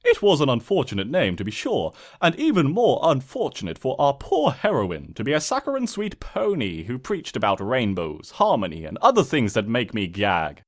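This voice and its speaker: A man's voice: mid-range voice, wildly swooping pitch, normal speed, few pauses. A man voicing a smug, mischievous trickster spirit who narrates a comic storybook in a pompous, sardonic, theatrical way.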